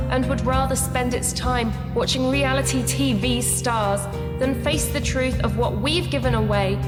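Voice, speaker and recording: A woman's voice: on the high side, natural voice. Echoing woman poet played by an actress, declamatory. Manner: deliberate, declamatory, rhetorical. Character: earnest woman political poet performing her verse on stage. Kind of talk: rhymed spoken-word poetry recital. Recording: echoey hall reverb with music.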